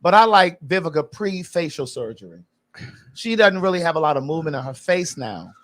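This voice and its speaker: A man's voice: fairly high pitch, animated, fairly quick, a bit bright. A man co-hosting a casual chat, chatty, reading out viewer comments and laughing along. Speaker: podcast co-host.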